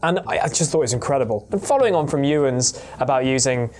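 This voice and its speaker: A man's voice: mid-range voice, lively intonation, fast talker, darkish tone. A man telling a story casually as a panellist, explaining in earnest detail and often correcting himself. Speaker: panellist on a gaming show.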